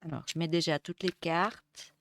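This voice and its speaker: A woman with a cold and a hoarse voice, giving a casual tarot reading with many hesitations and restarts. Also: deep voice, steady tone, moderate pace, slight background noise. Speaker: tarot reader. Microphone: close mic. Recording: dry close mic.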